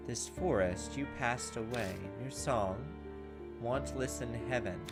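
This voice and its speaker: A grim voice from beyond the grave in a story game, acted by a man. The words come very slowly, in halting fragments. Music plays behind. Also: medium pitch, close to an everyday voice. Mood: grim solemn. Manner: slow, halting, fragmented reading. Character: dead person's suicide note, slow and grim.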